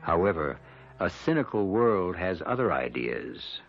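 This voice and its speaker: A man narrating as the grave, wry host of a radio mystery series, with measured, ominous, literary phrasing and a gravelly voice. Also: low-pitched, big pitch swings, full character voice. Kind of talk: dramatic scripted radio narration. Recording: muffled vintage radio recording. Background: dramatic music.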